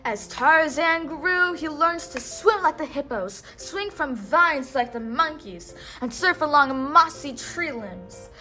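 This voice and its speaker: A woman with a high-pitched voice reads a children's story aloud at an unhurried pace. Her delivery is expressive and instructional, with words stressed for a young listener to repeat. Faint music plays behind her. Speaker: storybook read-aloud host. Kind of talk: children's read-aloud narration with call-and-response prompts.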